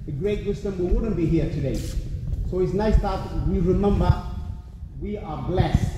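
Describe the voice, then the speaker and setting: High-voiced man from Africa, echoey hall. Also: normal speed. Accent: Welsh-tinged English. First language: Somali. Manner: earnest, repeats "trust me", addresses the crowd directly. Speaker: guest speaker at a community event. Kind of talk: motivational speech to an audience. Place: large hall at a community event. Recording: echoey hall from far off, noisy.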